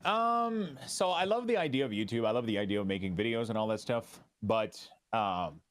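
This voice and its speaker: French Canadian streamer with an easy, halting manner. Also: male voice, mid-range voice. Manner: relaxed, halting, many restarts and fillers. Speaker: streamer guest. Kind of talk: casual interview chat.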